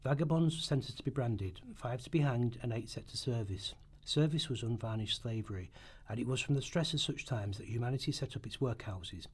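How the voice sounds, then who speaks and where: A man's voice: medium pitch, a little fast, breathy edge. A man reading a script aloud as a narrator in rehearsal, now and then stumbling over his words. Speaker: radio play narrator in rehearsal.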